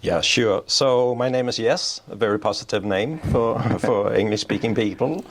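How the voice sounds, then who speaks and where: Man from Denmark, middle-aged, gravelly, low-pitched, explaining payments, interview guest. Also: normal speed. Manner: explanatory, self-restarting. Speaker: payments strategy executive, guest. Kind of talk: interview answers. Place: office in Oslo.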